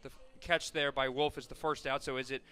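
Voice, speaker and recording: Bright, crisp male play-by-play announcer. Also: medium pitch, flat delivery, a little fast. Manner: rises sharply and loud on big plays, corrects himself often. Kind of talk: radio play-by-play commentary.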